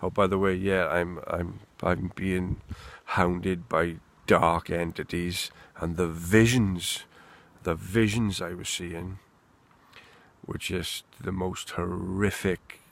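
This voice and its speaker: A man's voice: low voice, little pitch movement, slow pace, gravelly. A Welsh man rambling candidly and swearing freely in an unscripted monologue, recorded outdoors in the wind. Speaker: vlogger.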